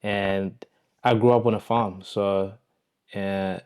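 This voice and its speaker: A young Zimbabwean man talks casually to the camera about his own life. His voice is on the low side and stays quite level, with a smooth, resonant sound. He comes across as reflective and conversational. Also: steady tone, takes it slowly, a little mellow. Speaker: vlogger, online entrepreneur. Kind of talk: casual personal storytelling to camera.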